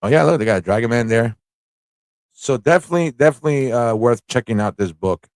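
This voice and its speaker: A man's voice: mid-range voice, expressive, fast, dry recording. A man hosting a livestream in a casual, rambling way, thinking aloud and repeating phrases as he goes. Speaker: livestream show host.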